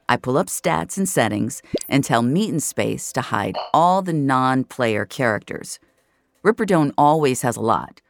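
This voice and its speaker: An actress playing a sardonic, jaded virtual-reality regular, narrating an audio drama in a wry, conversational, profane way. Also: female voice, rumbling low, dry recording. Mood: wry, matter-of-fact.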